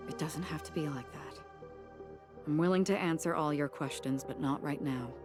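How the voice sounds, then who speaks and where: An actress playing a calm, courteous woman on the run in a game cutscene, speaking in a polite, composed way, with game music behind her. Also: low voice, normal speed, close to an everyday voice. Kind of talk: video game cutscene dialogue.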